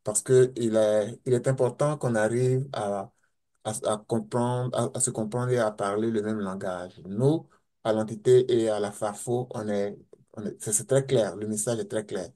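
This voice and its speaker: A man's voice: lowish voice, steady tone, normal speed, dark tone. A man asking a hesitant question from the floor, with many fillers. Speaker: audience member asking question.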